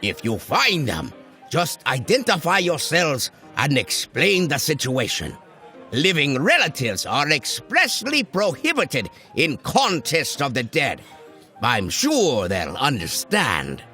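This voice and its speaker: An actor playing a calm, patient small-town cartoon sheriff, measured, folksy and diplomatic. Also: male voice, on the high side, faint music behind, full character voice. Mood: calm, firm.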